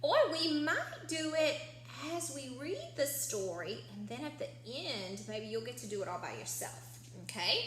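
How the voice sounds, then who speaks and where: A woman's voice: high voice, sing-song, normal speed, few pauses, a bit bright. A woman teacher reading a picture book aloud to young children, explaining simply and asking prompting questions. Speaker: early-years teacher. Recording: echoey room with faint background hum.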